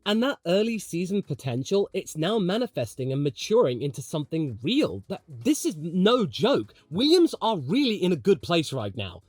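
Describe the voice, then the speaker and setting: A man's voice: sits high, sing-song, normal speed, few pauses, a little mellow, no echo. A man delivering scripted motorsport commentary in an emphatic, dramatic way, as the host of a video essay.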